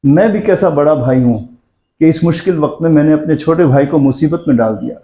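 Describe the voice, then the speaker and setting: A man's voice: a little high, mellow, lightly acted. A man playing a middle-aged elder brother and father in an amateur moral drama, stern and curt. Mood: remorseful. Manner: curt, scolding. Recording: roomy echo, dull sound, faint noise.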